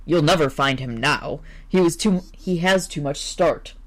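A woman's voice: sits low, a little slow. A woman voicing a stern, brusque male newspaper editor who speaks in clipped commands. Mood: curt.

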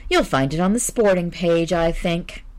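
A woman's voice: fairly low pitch, lightly acted. Woman reading a suave, cool detective. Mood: calm reasoning. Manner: suave, calm, deliberate. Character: suave, cool-headed male amateur detective.

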